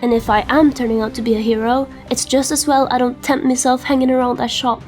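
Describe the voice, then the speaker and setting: A woman voicing a scruffy, sly, gruff thief with slangy, broken grammar in a comic fantasy dub. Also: fairly high pitch, level tone, fairly quick, a little mellow, faint music behind, lightly acted. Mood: musing, self-satisfied. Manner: colloquial slurred vernacular with broken grammar.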